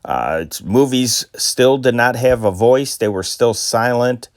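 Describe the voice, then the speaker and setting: A man's voice: mid-range voice, animated, measured pace, no room sound, clean studio sound. Man hosting, reading old news. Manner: unscripted intro with many "uh" fillers, then plain reading. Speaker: host. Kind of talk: reading old newspaper stories aloud.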